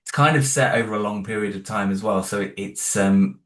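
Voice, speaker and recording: A man's voice: lowish voice, fairly quick, fairly bright tone. A man being interviewed about the audio drama he created, hesitant, with many fillers and restarts. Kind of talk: interview guest.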